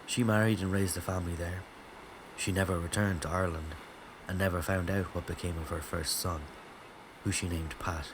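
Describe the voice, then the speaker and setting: Low-voiced male narrator over background ambience. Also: fairly even intonation, moderate pace, background noise. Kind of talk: scripted historical drama narration.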